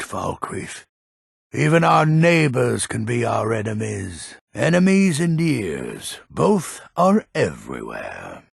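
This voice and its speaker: An actor playing a grumpy, suspicious old man in a fantasy video game, with a gravelly, husky voice and a grumbling, wary manner. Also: medium pitch, no echo, clean studio sound, exaggerated voice. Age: older.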